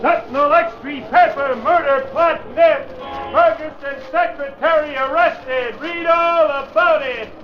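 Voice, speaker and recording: A man playing a young newsboy hawking extra editions with loud street cries, in 1940s radio drama. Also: extremely high pitch, fairly even intonation, measured pace, hardly any pauses, soft background music. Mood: urgent hawking. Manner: loud street-crier calls. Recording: muffled, echoing vintage radio recording.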